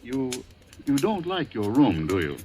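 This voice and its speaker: An actor playing an older, falsely genial guardian in a 1940s radio drama, hesitant, patronizing and insinuating. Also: male voice, mid-range voice, sing-song, measured pace, warm tone. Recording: faintly noisy old radio recording.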